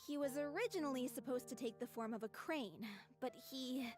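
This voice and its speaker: An actress voices an earnest young stage performer in a video game. Her high voice is articulate and caring, with a scolding streak. Faint music runs underneath. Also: moderate pace, lightly acted. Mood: matter-of-fact. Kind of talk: video game character voice acting.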